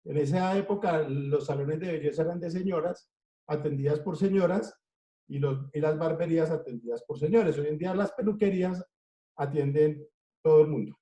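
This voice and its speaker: A middle-aged Colombian man with a Colombian accent, telling an unhurried story in an interview and listing things in rhythmic runs. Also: a little high, mellow, dull audio. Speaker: interviewed cartoonist. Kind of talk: relaxed storytelling. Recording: echoey room.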